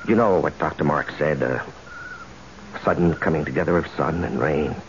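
An actor playing a nervous, guilt-ridden husband in a radio drama, stammering and halting. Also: male voice, very deep voice. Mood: subdued, halting. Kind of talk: 1970s radio drama acting. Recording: dull old radio recording, faint hiss.

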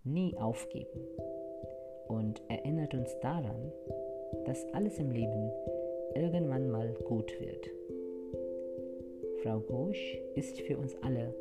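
A young man hosting a podcast, opening with a soft spoken introduction and then chatting with many fillers. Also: mid-range voice. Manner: soft, slow intro over music, then chatty and halting. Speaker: podcast host. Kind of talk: podcast interview with narrated intro.

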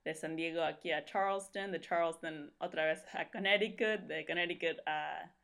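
A woman's voice: sits low, fairly even intonation, moderate pace, creaky, a bit bright. A young woman from Mexico, a podcast guest telling her life story in a rambling way full of fillers. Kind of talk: casual conversational storytelling.

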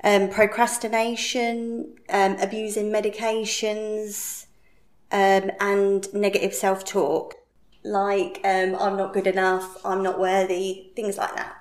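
A woman from England with an English accent, a guest coach explaining slowly and listing examples with fillers. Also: mid-range voice, completely flat delivery, roomy sound. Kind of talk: explaining in casual podcast chat.

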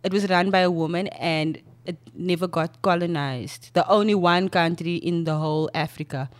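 A woman's voice: fairly low pitch, moderate pace, no echo. A woman co-hosting a casual podcast chat, bold and playful, teasing the men at the table. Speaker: podcast co-host.

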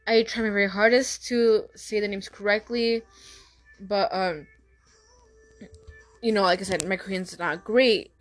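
A young woman hosting a casual solo podcast, talking fast with frequent stutters and restarts. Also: mid-range voice, steady tone, soft background music, low-quality recording. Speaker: podcast host.

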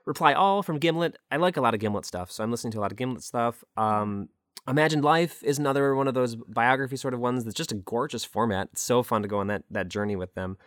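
A man's voice: medium pitch, quick pace, a bit bright, no room sound, studio quality. A man chatting casually and enthusiastically as a guest in an interview. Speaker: interview guest, audio drama creator.

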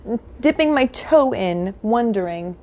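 A woman's voice: fairly high pitch, animated, measured pace, darkish tone, a little hiss or hum. An American woman co-hosting a podcast, chatting in an informal, effusive way. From United States. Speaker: podcast co-host. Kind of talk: casual chat about superhero movies.

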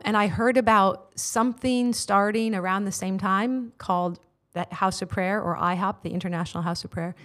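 Earnest American woman sharing her story. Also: medium pitch. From United States. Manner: earnest, heartfelt, many filler words. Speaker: guest, prayer ministry leader. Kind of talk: personal testimony in interview. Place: studio.

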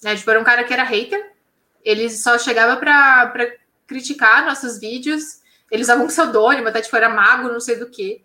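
A woman with a bright, fairly high voice talks about her science channel in a lively, slangy way. Her words ring around an echoey room. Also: brisk. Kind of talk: casual interview talk.

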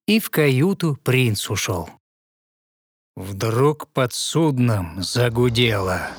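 A man narrating a fairy tale in verse with expressive, rhythmic recitation. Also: a little high, slight background noise. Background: music behind the voice.

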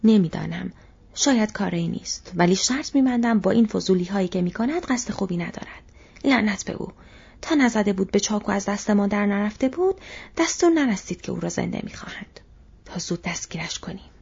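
A woman voicing a big, short-tempered male orc soldier in an audiobook, menacing and barking in a hoarse, breathy voice. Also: medium pitch, animated, darkish tone, exaggerated voice. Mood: resentful. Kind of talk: audiobook character voice. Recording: dull, muffled lo-fi audio.

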